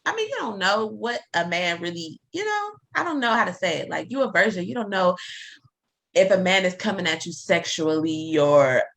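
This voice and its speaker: A woman of about thirty hosts a relaxed podcast talk. Her voice is bright, and she talks fast and loosely, full of "like," laughing as she goes. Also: fairly low pitch, vocal fry. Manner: chatty, many "like" fillers, quick laughs. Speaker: podcast host. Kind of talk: casual chat.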